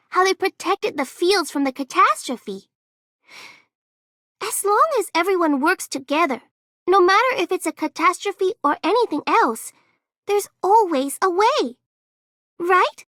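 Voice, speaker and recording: A sweet little game heroine, hopeful and innocent. Her voice is extremely high, recorded dry and close, with an earnest delivery. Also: fairly bright tone, no room sound, full character voice. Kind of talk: scripted video game character voice line.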